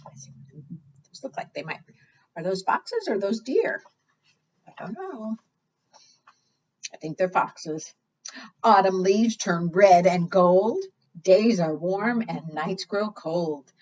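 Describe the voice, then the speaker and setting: Slow, very expressive woman reading to preschoolers. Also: mid-range voice. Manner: expressive, asks the listeners questions. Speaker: children's librarian leading preschool storytime. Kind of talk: read-aloud for young children. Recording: dull lo-fi sound.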